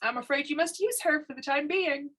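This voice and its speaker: A woman's voice: sits high, brisk, fairly bright tone, pitched above the performer's own voice. A woman voicing a brash, cheerful and smug male chief inspector with a breezy, pedantic manner in a story reading. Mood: smooth, insistent. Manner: breezy, pedantic, formal wording.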